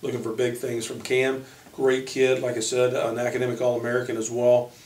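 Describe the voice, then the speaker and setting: Guy coaching college hoops, kinda monotone, stop-and-start player rundowns, echoey and far from the mic. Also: mid-range voice. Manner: halting, pausing mid-sentence. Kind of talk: informal spoken player profiles. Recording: roomy echo, distant microphone.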